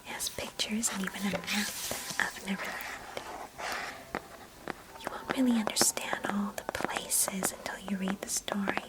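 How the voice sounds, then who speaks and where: This is a woman acting as a chatty, affectionate female cousin in an ASMR roleplay. She speaks entirely in a breathy whisper. Her delivery is gentle and unhurried, with a nostalgic, fond temper. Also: mid-range voice, steady tone, natural voice, poor audio.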